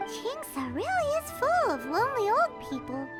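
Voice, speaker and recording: Tiny childish fairy sidekick, very high and sing-song, cheeky. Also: female voice, huge pitch swings, a little slow, heavily acted. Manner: cheeky then sheepish. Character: tiny floating female fairy companion, childish. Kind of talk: video game voice acting. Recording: game music behind.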